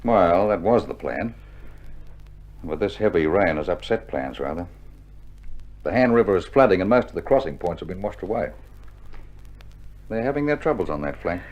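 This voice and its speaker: A hearty army officer in a vintage radio drama, with a low voice that swings expressively. He briefs his men with jovial understatement, on a slightly muffled old vinyl recording. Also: expressive, normal speed, long pauses, a little mellow. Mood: calm, businesslike. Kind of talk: 1960s radio drama acting.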